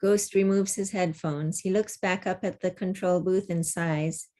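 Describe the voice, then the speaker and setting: A woman's voice: on the low side, steady tone, measured pace, dark tone. A woman taking part in a virtual table read as narrator, speaking over a video call. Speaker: table-read narrator reading stage directions. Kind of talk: scripted stage-direction narration, then casual discussion.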